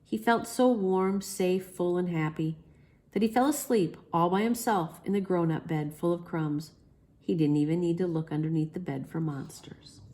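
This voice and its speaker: A woman's voice: on the low side, normal speed, dark tone. A woman storyteller reading a children's storybook expressively.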